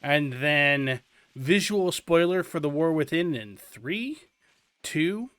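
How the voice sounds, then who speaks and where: A male host giving a casual walkthrough commentary on a video game, explaining each step in a relaxed, drawn-out way. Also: fairly high pitch, no echo.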